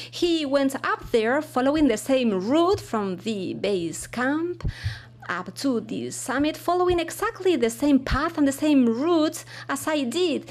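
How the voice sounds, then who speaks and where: High-voiced woman interpreting a keynote. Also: a little mellow. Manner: halting, with fillers and frequent restarts. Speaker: simultaneous interpreter. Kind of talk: live interpretation of a keynote talk.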